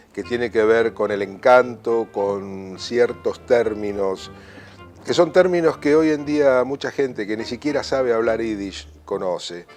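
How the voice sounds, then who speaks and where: Man, interviewee, lowish, reflective, faint music behind. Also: darkish tone.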